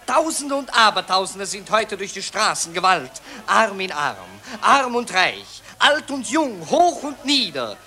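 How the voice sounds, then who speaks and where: A man's voice: high voice, sing-song, unhurried, hardly any pauses, pushed and bright, full character voice. An actor playing a pompous male newspaper writer who declaims in a grand, swelling manner. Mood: grandiloquent. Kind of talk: 1960s radio drama acting.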